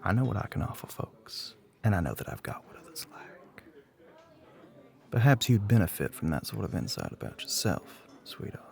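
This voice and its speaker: A man playing a smug, flirty, middle-aged bartender with a Southern US accent in an intimate ASMR roleplay, smooth-talking and often dropping toward a whisper. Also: fairly low pitch, very animated, normal speed, a little mellow. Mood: smug, reassuring. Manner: drawling, smooth-talking, pet names, often breathy near-whisper.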